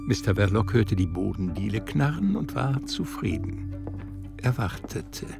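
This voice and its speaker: A man with a low, gravelly voice narrates a literary radio drama. His storytelling is measured yet lively in intonation, rising and falling dramatically. Music plays clearly behind him. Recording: studio production with music underneath.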